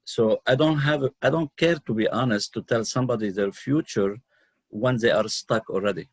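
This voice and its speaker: A middle-aged man from Lebanon with a Lebanese accent, telling his own story as an interview guest, unscripted and halting, often pausing mid-sentence. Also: medium pitch, dry recording. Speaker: guest, numerologist and healer.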